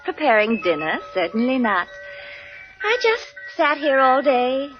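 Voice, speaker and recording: Coquettish, high-voiced young widow. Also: female voice, sing-song, a little slow. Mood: gracious. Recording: dull old radio recording with music.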